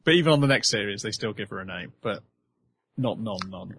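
A man's voice: on the low side, lively intonation, fairly quick, a bit bright, a little hiss or hum. A man hosting a casual podcast chat, steering the topics and laughing often. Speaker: podcast host. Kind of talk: casual chat about animation.

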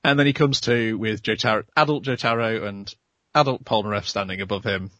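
A man's voice: on the low side, fairly quick, bright, forceful tone, dry recording. Male podcast host, casual wry recap, slight voice loss, dry close sound. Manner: wry, giggly storytelling. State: losing his voice. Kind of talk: casual chat.

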